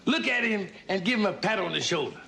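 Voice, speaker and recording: Pompous raspy old dad bossing actors around, high voice, echoey noisy sitcom stage. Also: male voice, animated, quick pace. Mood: bossy, self-satisfied. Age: older. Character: bossy, pompous old father playing theater director. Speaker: amateur play director. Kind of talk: sitcom acting before a studio audience.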